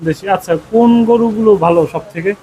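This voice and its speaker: A high-pitched man with a Bangladeshi accent presents a farming show on location. He speaks as an interviewer who echoes answers back. There is light noise and outdoor-style ambience around his voice. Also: fairly quick, warm tone. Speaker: host of a farming programme. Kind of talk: on-location interview with a scripted-sounding welcome. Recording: noisy on-location farm recording.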